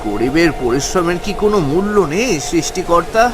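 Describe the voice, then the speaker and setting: A man's voice: a little high, lively intonation, a little fast, background noise, close to an everyday voice. A man playing a timid, henpecked poor fisherman in a cartoon, plaintive and melodramatic. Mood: despairing. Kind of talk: cartoon dialogue. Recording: music behind the voice.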